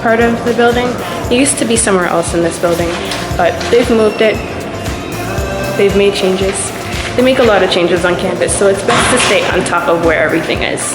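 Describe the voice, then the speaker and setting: A young woman from Jamaica talks her way through a campus tour, filming herself at arm's length as she walks outside in the wind. Her voice sits at a middle pitch, easy and explanatory, with loud music playing underneath. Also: brisk, poor audio. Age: 20s. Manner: friendly, explanatory, pointing things out as she walks. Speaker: student tour guide. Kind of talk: walking campus tour vlog. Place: outdoors on a breezy campus, then an indoor hallway. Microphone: handheld camera at arm's length. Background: loud background music.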